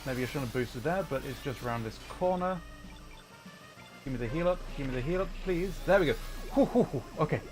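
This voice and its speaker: A man's voice: mid-range voice, animated, fairly quick. A man giving casual gaming livestream commentary, rambling and thinking aloud as he plays. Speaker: livestream host, gamer. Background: music.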